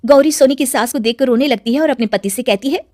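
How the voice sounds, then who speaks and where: A woman's voice: high voice, fast, warm tone, no echo, studio quality. A woman giving scripted narration for a children's moral cartoon story.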